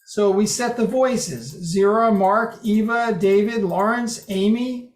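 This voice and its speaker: A presenter walks a group through a code demo, talking loosely and correcting themselves as they go. The voice sits at a middling pitch. A roomy echo from the meeting room hangs on every word. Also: slow. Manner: explanatory, loose, with restarts and self-corrections.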